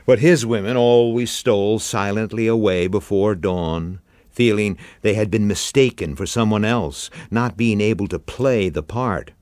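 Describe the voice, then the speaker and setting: Slow, expressive low-voiced male story reader. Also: dry recording. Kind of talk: dramatic literary audiobook narration.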